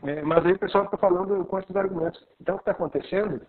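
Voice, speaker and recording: A pastor from southern Brazil with a Gaúcho accent, teaching with excitement in a live video call conversation. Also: male voice, sits high, rapid-fire, husky. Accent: Gaúcho Brazilian Portuguese. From Rio Grande do Sul, Brazil. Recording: tinny phone-like line.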